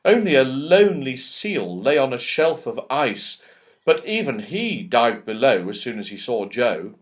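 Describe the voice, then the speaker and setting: Animated man reading a children's story. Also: medium pitch, a little slow. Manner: dramatic emphasis, storyteller's phrasing. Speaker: audiobook narrator. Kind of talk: expressive scripted children's story narration.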